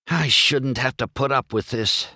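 A man's voice: on the high side, huge pitch swings, unhurried, fairly bright tone, no room sound, clean studio sound, voice pushed up, exaggerated voice. A man voicing a cocky young race car in a children's story, whiny and self-important. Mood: indignant.

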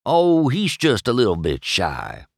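A man's voice: mid-range voice, huge pitch swings, unhurried, gravelly, no room sound, clean studio sound, voice pushed up, exaggerated voice. A man voicing a friendly, boastful, simple tow truck in a children's story, with a folksy, rambling manner. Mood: matter-of-fact.

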